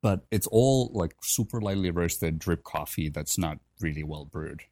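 A man in his twenties from Finland, telling his story in a relaxed, chatty way as a podcast guest. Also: low-pitched, unhurried, dry recording. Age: 20s. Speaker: podcast guest, coffee professional. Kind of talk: casual interview storytelling.

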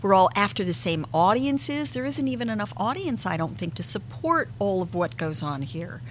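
A woman from Connecticut, a guest in a casual conversation about the arts, telling stories in an animated way and laughing easily. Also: medium pitch, normal speed, a little hiss or hum. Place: restaurant.

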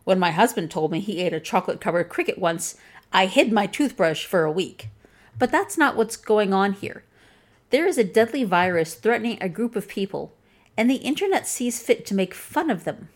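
A woman with a plain, flat Chicago accent delivers scripted commentary. Her tone is wry and pointed, and she lets the dry humor sit inside an even, mid-pitched voice. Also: normal speed. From Chicago, USA. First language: English. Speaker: video essayist.

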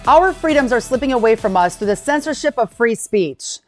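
A woman's voice: fairly high pitch, expressive, brisk, faint music behind. A woman hosting an interview podcast, chatty and upbeat as she introduces and questions her guest. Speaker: podcast host.

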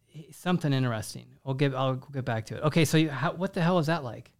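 A man's voice: medium pitch, quick pace. A man hosting a casual podcast interview, rambling and making self-mocking jokes. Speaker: podcast host.

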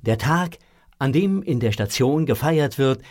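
A man's voice: mid-range voice, expressive, unhurried, darkish tone, dry recording. Male narrator with a close, dry sound. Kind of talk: scripted children's audio drama narration.

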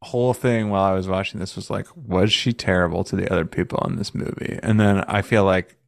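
A man's voice: sits low, fairly even intonation, a little fast, vocal fry, dry recording, studio quality. A man co-hosting a podcast, chatting in a wry, joking way. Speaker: podcast co-host. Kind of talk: casual chat.

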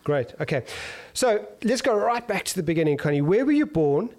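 Middle-aged man hosting a relaxed interview. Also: high-pitched, a little fast. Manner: rambling questions with restarts, encouraging. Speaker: interview host. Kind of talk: casual interview.

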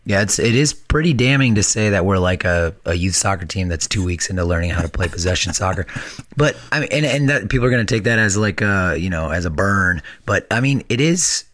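A hoarse man talking soccer on a podcast, blunt and analytical. His voice sits low and the recording is dry and close. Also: animated, brisk, a bit bright, dry recording. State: hoarse from shouting. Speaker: podcast co-host. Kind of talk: casual soccer podcast chat.

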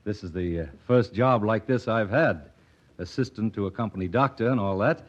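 A man's voice: on the low side, normal speed, close to an everyday voice. An actor playing an earnest, diffident young doctor in a vintage radio drama, polite and hesitant in his speech. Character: earnest young newly qualified doctor, diffident but principled. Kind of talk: vintage radio play acting. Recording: dull old radio broadcast recording.

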